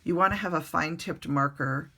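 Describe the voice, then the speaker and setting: A woman recovering from the flu, her voice hoarse, chatting casually through a card-making tutorial at a desk in her bedroom with lots of ums and uhs. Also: low voice, brisk, bright, forceful tone, a little hiss or hum. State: hoarse, getting over the flu.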